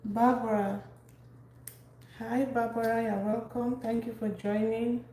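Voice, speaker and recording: A woman from Cameroon, hosting a casual cooking live stream and chatting warmly with viewers by name as she cooks in her kitchen. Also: a little high, little pitch movement, measured pace. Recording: echoey room, faint background noise.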